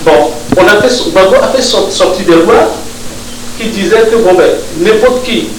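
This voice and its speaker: A man from West Africa giving an informal talk to an audience, halting, with many restarts and fillers. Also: high-pitched. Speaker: speaker at a public discussion. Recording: distant mic in an echoey room with noise.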